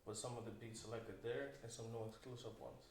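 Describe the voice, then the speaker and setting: A young man from the Dominican Republic chats about making beats in a relaxed, matter-of-fact tone. His voice is flat and even in pitch. It sounds roomy and a little far from the mic, with faint noise underneath. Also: a little fast. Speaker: music producer guest. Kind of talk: casual podcast chat.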